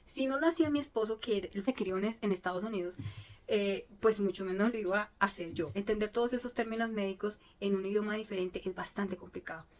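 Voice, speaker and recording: A middle-aged woman, a native Spanish speaker, telling a personal story in an earnest, emotional way, with frequent restarts. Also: medium pitch. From Colombia. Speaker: vlogger telling her story.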